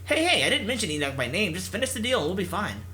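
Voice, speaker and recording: A man's voice: high-pitched, big pitch swings, fast, bright, forceful tone, exaggerated voice. A man voicing a cocky, breezy young pro gamer in a visual novel, smug and flippant. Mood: smug, unbothered. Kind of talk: visual novel character acting.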